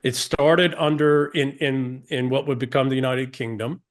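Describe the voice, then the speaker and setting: A man's voice: medium pitch, steady tone, normal speed. A man from the United States, a guest on an interview podcast, speaking emphatically, asking rhetorical questions and often restarting sentences.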